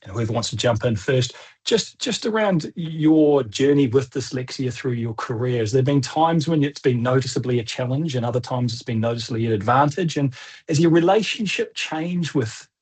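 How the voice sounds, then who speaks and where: A man's voice: mid-range voice, animated, normal speed, a little mellow, husky. A man moderating a webinar panel over a video call, asking long, conversational questions.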